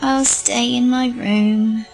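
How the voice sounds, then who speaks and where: Timid, high-voiced little girl. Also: steady tone, takes it slowly, gravelly, a little mellow, faint music behind. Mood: anxious, meek. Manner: hesitant, childlike, plaintive. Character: timid, earnest young girl, frightened and unheeded. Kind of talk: audio drama acting.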